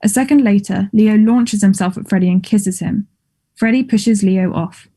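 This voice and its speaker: A woman's voice: medium pitch, normal speed, mellow, husky. A woman reading stage directions as scripted narration for a play, in a matter-of-fact manner.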